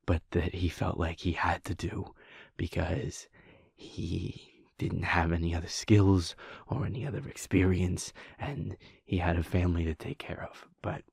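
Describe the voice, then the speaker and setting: A man as a husky, gravelly werewolf boyfriend. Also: deep voice, steady tone, a little slow, no echo, studio quality, full character voice. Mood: bitter. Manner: soft, half-whispered, earnest. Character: caring young adult male werewolf boyfriend. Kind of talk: audio roleplay.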